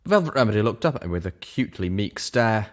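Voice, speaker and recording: A man's voice: on the low side, sing-song, quick pace. A man reading a first-person story aloud as scripted narration. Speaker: reader of fan fiction show.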